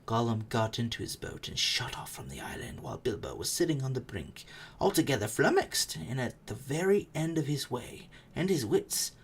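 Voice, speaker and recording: A storybook narrator with a mid-pitched voice that swings widely for drama. The reading is expressive and suspenseful, dropping to a hush at phrase ends, with faint noise behind it. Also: normal speed.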